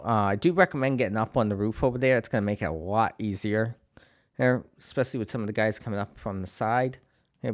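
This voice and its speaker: Low-voiced New England man with a lisp. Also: dry recording, studio quality. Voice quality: slight lisp. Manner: relaxed and rambling, many "uh"s and restarts. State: has a cold, clearing his throat. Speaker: game guide creator. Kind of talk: casual gameplay walkthrough commentary.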